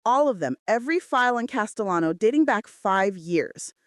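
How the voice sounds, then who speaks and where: A woman's voice: medium pitch, animated, normal speed, dry recording, clean studio sound, lightly acted. A woman playing a defiant, loyal female assistant in emphatic, outspoken romance audiobook dialogue. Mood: alarmed.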